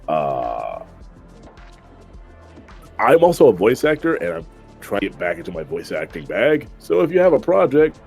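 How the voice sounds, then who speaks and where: A man with a very deep, gravelly voice signs off a tabletop stream in a playful, upbeat way. He sounds relaxed and friendly. Faint music plays behind him. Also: moderate pace, long pauses. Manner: playful, upbeat self-promotion. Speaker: player, voice actor. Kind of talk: casual stream outro.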